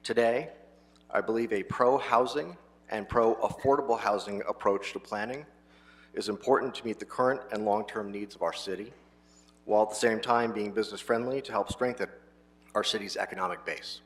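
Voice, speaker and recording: A man's voice: on the low side, normal speed. A man from Los Angeles, a nominee, giving a prepared statement to a city committee and listing items one after another.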